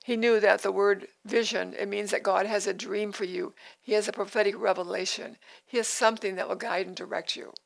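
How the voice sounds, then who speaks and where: A woman's voice: mid-range voice, steady tone, brisk, vocal fry, hardly any pauses, poor audio. A woman in her eighties, hosting a devotional podcast in an earnest, repetitive way with frequent restarts. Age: 80s. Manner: earnest, repeats phrases, restarts sentences. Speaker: podcast host.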